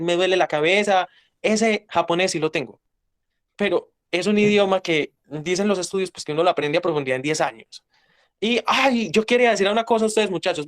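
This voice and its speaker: A young man with a Paisa accent talks in a fairly high voice, driving his points home bluntly and emphatically. He sounds like a guest in a casual interview. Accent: Paisa Colombian. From Colombia.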